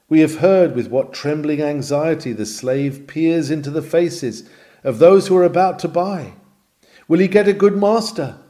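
A man reading a sermon aloud in a solemn, measured, formal manner. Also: medium pitch, dark tone. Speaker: reader of a sermon.

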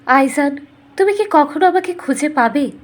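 A loving captive princess in a cartoon storybook, voiced by a woman narrator. She sounds plaintive and pleading, in a fairly high voice. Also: normal speed, lightly acted. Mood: longing. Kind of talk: storybook narration with acted dialogue.

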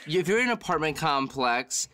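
Bright, higher-pitched man bantering playfully. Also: big pitch swings, moderate pace. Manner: playful, brash, sweary. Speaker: podcast cast member. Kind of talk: casual joking banter.

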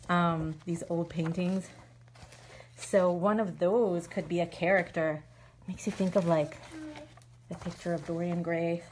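A woman's voice: fairly low pitch, darkish tone, a little hiss or hum. A woman chatting casually while she shows a craft for kids, filmed on a phone from overhead at an indoor table. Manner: chatty, thinking aloud with fillers. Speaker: craft video host. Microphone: phone filming from overhead.

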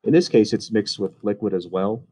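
A man's voice: lowish voice, moderate pace, dark tone. A man casually explaining technical diagrams in a voice-over on slides, with frequent ums and uhs. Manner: casual, uses fillers. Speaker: HVAC instructor.

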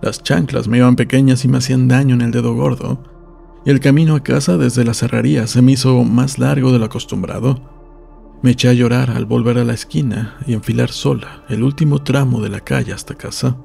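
A man's voice: medium pitch, steady tone, fairly quick, gravelly, mellow, faint music behind. A man reading a literary story aloud as a scripted audiobook narration.

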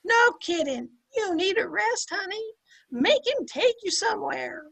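A woman's voice: very high voice, expressive, a little slow, creaky, fairly bright tone, full character voice. An older woman playing a brassy, sardonic housemaid in an amateur old time radio sitcom, with slangy, punchy delivery. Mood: bossy, egging on. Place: indoor room at home, video call. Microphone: computer mic on a video call.

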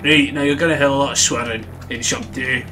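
Man putting on a brash, bright-voiced Scottish bloke. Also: medium pitch, fairly even intonation, a little fast, slight background noise, lightly acted. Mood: brash, grumbling. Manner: heavy swearing, blunt, shouty. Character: brash, foul-mouthed, angry-sounding Scottish man. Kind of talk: comic gameplay commentary in character. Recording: music behind the voice.

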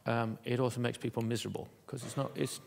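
A man giving a conference keynote in an auditorium, talking in a halting way with many fillers and restarts. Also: medium pitch, little pitch movement, creaky, a little mellow, a little hiss or hum. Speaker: keynote speaker.

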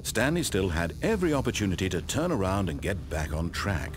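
A man's voice: low-pitched, very animated, brisk, background noise. A man with a British accent playing an urbane, wry storyteller in a game, precise and theatrical in his scripted narration. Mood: ominous.

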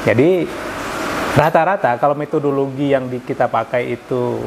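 A man's voice: mid-range voice, normal speed, noisy background. A middle-aged man with an Indonesian Jambi accent, explaining things step by step as an interview guest, with frequent fillers. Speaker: guest, lecturer and survey institute founder. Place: office room with sofas, indoors. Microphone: clip-on lapel mic.

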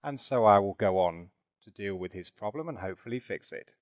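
A man narrating a software demonstration in a chatty, informal way, with conversational asides. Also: on the low side, lively intonation, fairly quick, no room sound, highs cut off. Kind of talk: conversational product demo narration with role-play.

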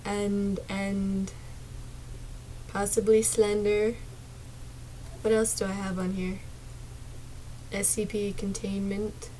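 Slow, soft-spoken young woman with a sore throat. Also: medium pitch, flat delivery, a little hiss or hum, rough recording. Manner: soft-spoken, drifts into whispers and mumbles. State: sore throat, partly lost voice. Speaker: gaming streamer. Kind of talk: casual chat to viewers. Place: small dark bedroom.

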